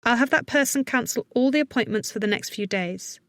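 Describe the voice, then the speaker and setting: A woman's voice: a little high, a little fast, no echo, pitched above the performer's own voice, close to an everyday voice. A woman voicing a worried but capable woman vet in an audiobook, giving firm, urgent, reassuring instructions. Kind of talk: audiobook character acting.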